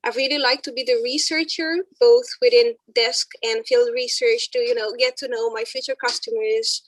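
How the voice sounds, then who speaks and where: A young woman introduces herself on a video call in a fairly high voice. The sound is dry and close. She talks in a chatty way, stopping to search for words. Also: moderate pace, dry recording, rough recording. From Romania. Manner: chatty and searching for words, with frequent yeah fillers. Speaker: student. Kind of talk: self-introduction in an online workshop.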